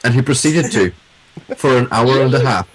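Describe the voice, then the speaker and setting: A man's voice: mid-range voice, lively intonation, normal speed, slightly rough, low-quality recording. A man who works in a call center, chatting casually and telling stories from his job. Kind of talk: casual podcast chat.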